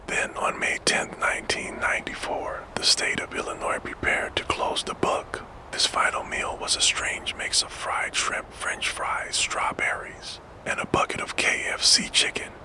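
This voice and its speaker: A man narrating true crime in a soft, fully unvoiced ASMR whisper, slow and measured, close to the microphone. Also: poor audio.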